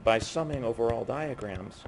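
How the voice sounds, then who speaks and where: A man giving a physics lecture while losing his voice, speaking haltingly and often breaking off mid-phrase. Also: mid-range voice. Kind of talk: university lecture at a board. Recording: noisy classroom recording.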